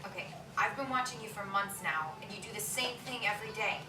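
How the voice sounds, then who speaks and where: A woman's voice: medium pitch, quick pace, natural voice. A woman playing a blunt, incredulous, scornful woman at a script table read. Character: blunt, mocking woman, a scornful reality check. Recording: echoey room, distant mic, loud noise.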